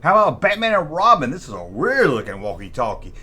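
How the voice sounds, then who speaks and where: A man's voice: on the high side, huge pitch swings, fairly quick, bright, forceful tone, slightly rough. A man hosting a vintage toy show, chatting informally and nostalgically and often repeating himself. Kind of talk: rambling nostalgic commentary on collectible toys.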